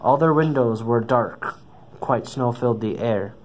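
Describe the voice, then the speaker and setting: A man's voice: medium pitch, a little slow. A man reading a children's story aloud, stumbling now and then over the words. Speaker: storybook reader. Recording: noisy amateur recording.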